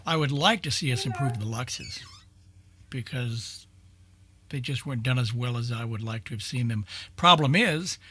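A man's voice: medium pitch, normal speed, sharp tone, slightly rough, no room sound. A man in casual group conversation, talking as a co-host of an old-time radio program.

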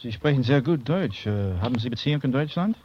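Actor as a terse captured German submariner. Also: male voice, medium pitch, lively intonation, fast talker, natural voice. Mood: composed. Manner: terse, formal. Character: composed, polite captured German U-boat crewman. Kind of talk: 1940s radio drama acting. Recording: muffled, noisy old radio recording.

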